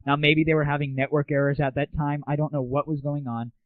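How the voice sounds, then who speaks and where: A man's voice: fairly high pitch, level tone, a little fast, no echo. A man hosting a casual solo internet audio show, talking in an irreverent, crude, off-the-cuff way. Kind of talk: casual solo rant and chat. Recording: muffled phone-like lo-fi 1990s internet audio.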